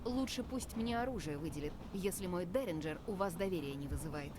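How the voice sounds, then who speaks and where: A woman's voice: fairly low pitch, lively intonation, quick pace, a little mellow, a little hiss or hum, natural voice. An actress playing an indignant, privileged young lady in a visual novel game, challenging whoever she talks to.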